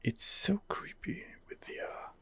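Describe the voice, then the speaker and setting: A man hosting an ASMR game playthrough, muttering hesitant commentary in a breathy whisper. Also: unhurried, pushed and bright. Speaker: gaming video host.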